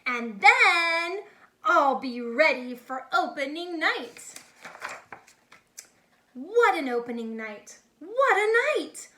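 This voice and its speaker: An eager storybook boy acted out by a woman barks his circus attractions like a proud showman. The delivery is bright, singsong and unhurried, in an echoey room. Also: sits high, very animated, slight background noise, exaggerated voice. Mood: excited, showy. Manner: grandiose showman's barking, singsong rhymes. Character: young boy dreamer, boastful eager circus ringmaster. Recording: echoey, reverberant room sound.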